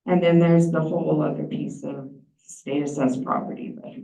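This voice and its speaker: A woman's voice: low voice, level tone, normal speed, gravelly, mellow. A woman giving a plain-spoken, explanatory presentation at a meeting. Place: large meeting room. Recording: echoey room, distant mic, dull sound.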